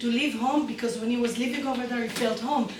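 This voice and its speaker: Israeli lady talking to visitors in halting english, highish voice, noisy room. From Jerusalem, Israel. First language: Hebrew. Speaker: absorption center staff member hosting a tour group. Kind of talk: informal spoken introduction to visitors. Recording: distant, echoey room with loud noise.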